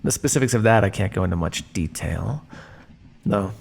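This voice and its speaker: A man playing a condescending, coolly menacing vampire scientist in an ASMR roleplay, speaking to his captive in a measured, teasing way. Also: lowish voice, lively intonation, vocal fry, darkish tone. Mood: teasing, reflective. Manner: smug, measured, teasing, theatrical. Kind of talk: ASMR roleplay monologue addressed to listener.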